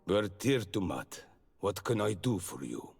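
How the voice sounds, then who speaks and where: An actor playing a solemn, kindly and wise native healer in a fantasy video game, with a husky voice. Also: male voice, low voice, very animated, unhurried, heavily acted. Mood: grave, gentle. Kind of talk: video game cutscene acting.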